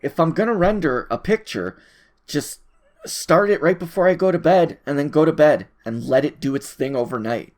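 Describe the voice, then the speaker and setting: A man giving a casual, rambling solo audio log, thinking aloud in a halting, informal way and often repeating his phrases. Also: a little high, expressive.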